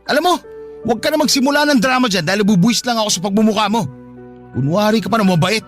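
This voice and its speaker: An actor playing an angry, jealous, belittling live-in partner in a radio drama, scornful and accusing. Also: male voice, sits high, fast, soft background music, close to an everyday voice.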